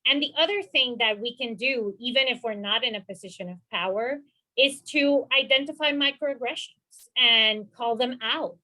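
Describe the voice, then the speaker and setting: A woman's voice: a little high, normal speed, bright, forceful tone, low-quality recording. A woman from Rico, speaking earnestly as a panelist in a webinar discussion and often filling with "you know.". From Puerto Rico. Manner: earnest, encouraging, many "you know" fillers. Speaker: panelist, mental health professional.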